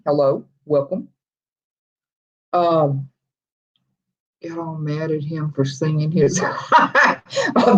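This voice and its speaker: This is a friendly, rambling livestream host with a drawl who reads out viewer comments and answers them in easy, casual chat. The voice sits at a middling pitch and chuckles come often between restarted sentences. The audio is dull and lo-fi. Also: a little mellow.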